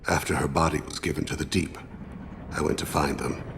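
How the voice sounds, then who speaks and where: A solemn alien assassin for a video game. His voice is extremely low and heavily gravelled, with a measured, formal delivery and the weight of a remorseful father. Clear background noise sits under it. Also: steady tone. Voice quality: very deep, gravelly.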